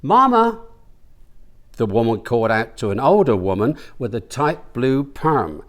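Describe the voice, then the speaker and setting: A man reading comic fiction aloud as a storyteller, in a deliberate, theatrical manner. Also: medium pitch, expressive, a little mellow. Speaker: author reading from his novel. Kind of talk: expressive audiobook-style reading of comic fiction.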